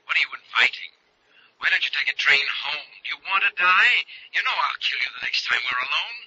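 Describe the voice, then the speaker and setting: A man's voice: on the high side, lively intonation, brisk, bright, forceful tone, husky, no room sound, full character voice. A man playing a tormented, menacing mad husband, harsh and agitated through a telephone filter in a 1940s radio drama. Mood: menacing, unhinged. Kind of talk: 1940s radio mystery acting. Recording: tinny phone effect on noisy old radio.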